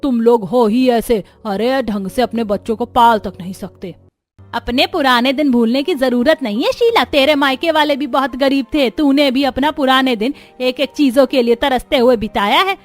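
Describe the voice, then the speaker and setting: An indignant older village mother-in-law acted by a woman for a cartoon, scolding and complaining with a sharp tongue. Her voice is raised in pitch, with music underneath. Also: moderate pace, lightly acted.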